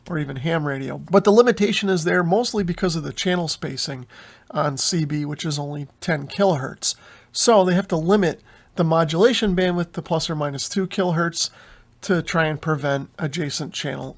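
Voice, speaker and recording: A man's voice: a little high, a bit bright. A man giving informal explanatory commentary as a hobby channel host, walking listeners through a written document.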